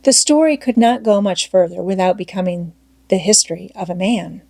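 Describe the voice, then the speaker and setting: Female audiobook narrator, mid-range pitch, scripted classic-story reading, dry sound. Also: moderate pace, gravelly, a little mellow, no room sound.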